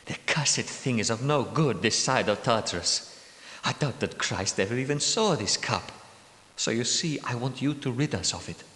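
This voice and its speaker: A man voicing a bitter, secretive knight guardian who is centuries old, speaking in a measured, world-weary way in a game's voice lines. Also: mid-range voice, expressive, a bit bright, lightly acted. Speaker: game character. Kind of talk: game character voice lines. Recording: echoey reverberant room.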